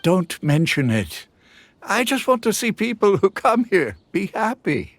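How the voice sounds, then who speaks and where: A man voicing a kindly, hospitable village head in a game, unhurried and welcoming. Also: high voice, big pitch swings, a little hiss or hum, heavily acted. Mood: friendly. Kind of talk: game voice acting.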